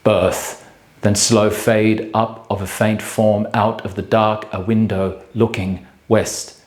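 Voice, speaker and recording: An actor playing a bleak, solitary man brooding on death in a theatrical monologue, speaking in grave, halting fragments. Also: on the low side, level tone, darkish tone. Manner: halting, clipped fragments with measured pauses. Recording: echoey room sound.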